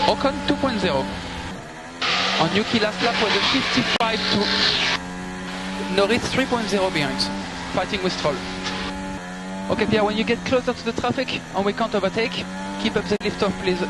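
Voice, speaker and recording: A man working as a race engineer, giving clipped, procedural instructions and updates over team radio. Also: sits high. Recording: very noisy car team radio transmission. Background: loud car noise.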